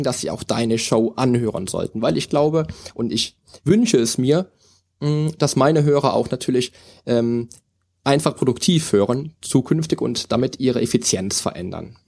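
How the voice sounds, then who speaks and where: A man's voice: mid-range voice, gravelly, darkish tone. A man hosting a podcast, chatty and rambling, with frequent laughs as he talks. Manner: chatty, rambling, many fillers and restarts, laughs often. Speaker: podcast host, personal trainer. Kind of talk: casual podcast chat and sign-off.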